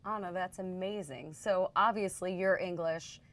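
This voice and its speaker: A woman hosting an interview in a slightly low voice. She introduces guests and asks friendly, prepared questions. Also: moderate pace, a bit bright. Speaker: interview host.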